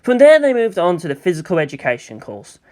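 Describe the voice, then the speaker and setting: High-voiced man narrating a story. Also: huge pitch swings, fast, a little mellow. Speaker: amateur audiobook reader.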